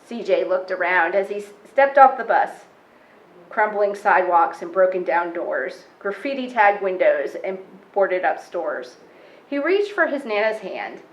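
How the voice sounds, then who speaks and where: A woman reading a picture book aloud to children as a storytime reader, with expressive, measured phrasing. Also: medium pitch, reverberant, highs cut off.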